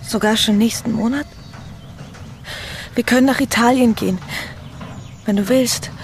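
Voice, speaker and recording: Breathy, pleading young lady in love played by an actress. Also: fairly high pitch, a little slow, slight background noise, natural voice. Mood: urgent, pleading. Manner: urgent, breathy, often dropping to a whisper. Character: pleading upper-class young wife in a forbidden love affair. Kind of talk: intimate German radio play acting.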